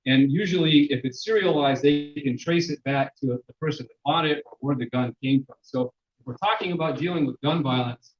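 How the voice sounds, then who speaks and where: A man giving a public lecture as a sociologist, with a loud voice and many fillers and restarts. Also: mid-range voice. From Mexican-American. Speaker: sociologist presenter. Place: lecture room. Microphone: handheld microphone, then none. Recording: echoey hall, dull sound.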